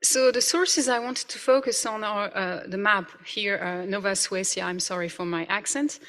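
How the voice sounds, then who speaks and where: A woman's voice: medium pitch, steady tone, moderate pace, fairly bright tone. A woman, an English speaker, giving an academic conference talk, reading carefully with frequent "uh" fillers. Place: conference hall. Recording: reverberant hall.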